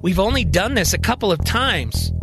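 A man's voice: sits high, expressive, normal speed, music in the background. A male storyteller voicing a blunt, exasperated woman in charge in an audio drama. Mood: explaining.

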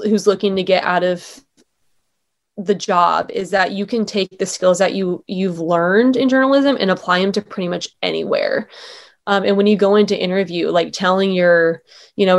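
A woman's voice: mid-range voice, steady tone, normal speed. A young adult woman, a former sports anchor, talking casually as an interview guest in an upbeat, chatty way with lots of "um" and "like".

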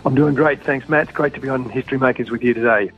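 A man's voice: mid-range voice, fast, soft background music. A man with an Australian accent, a guest telling his life story in a casual interview, rambling and restarting as he goes. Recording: tinny phone-like line.

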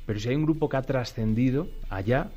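A man's voice: mid-range voice, fast talker, mellow, soft background music. Spanish man, a guest singer chatting. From Spain. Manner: enthusiastic, breaks into singing lines. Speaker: band member guest. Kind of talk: radio interview chat. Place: radio studio.

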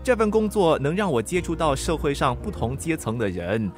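Animated, earnest young Singaporean guy. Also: fairly high pitch, normal speed, faint music behind. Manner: eager, friendly, chuckling. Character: cheerful, earnest young male delivery helper fresh from polytechnic. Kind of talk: Mandarin radio drama acting.

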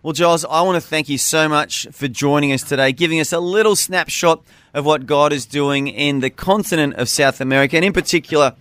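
Male interview host, mid-range voice, encouraging and clear, dry close studio sound. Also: a little high, brisk, a bit bright, dry recording. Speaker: radio host. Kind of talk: interview hosting.

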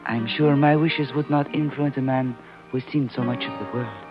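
An actress playing a gentle, demure young señorita in a 1950s radio drama. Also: female voice, a bass voice, fairly even intonation, moderate pace. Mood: demure, courteous. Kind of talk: 1950s radio romance acting. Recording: dull 1950s radio recording, guitar music behind. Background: guitar music.

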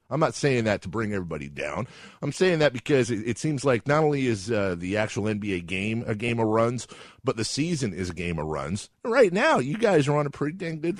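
Lowish bassy guy hosting sports radio, chatty n informal, wanders off on tangents, real dry mic sound. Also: no echo. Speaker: radio show host, interviewer. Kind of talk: casual sports talk radio interview.

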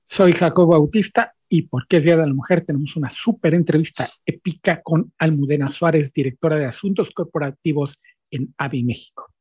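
A man with a Mexican accent co-hosting a radio show, energetic and upbeat. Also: on the high side, fairly quick, breathy edge, highs cut off. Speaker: radio co-host. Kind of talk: radio interview.

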